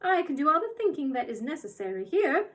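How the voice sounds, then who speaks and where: A woman voicing a tiny, bossy old woman who runs a shop, with a shrill, squeaky voice that turns from scolding to sweetly coaxing. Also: big pitch swings, brisk.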